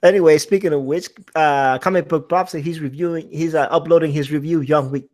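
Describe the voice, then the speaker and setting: Man, Puerto Rican accent, fairly high voice, rambling, casual livestream co-host. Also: fairly even intonation, fairly quick, a little mellow. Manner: rambling, frequent restarts. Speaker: co-host reading chat. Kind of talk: casual group chat on a livestream.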